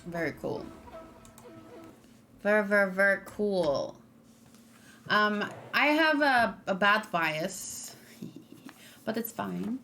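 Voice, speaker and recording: A woman's voice: medium pitch, expressive, very slow, vocal fry, pauses often, bright, forceful tone. A woman streamer giving a casual, rambling reaction to a game trailer, full of ums and restarts. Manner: rambling, self-correcting, with frequent ums. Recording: faint background music.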